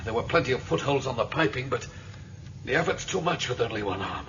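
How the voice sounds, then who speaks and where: A man's voice: medium pitch, lively intonation, brisk, close to an everyday voice. A man playing an injured climber in a radio drama, sounding strained by the effort and staying polite. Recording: dull lo-fi audio with clear noise.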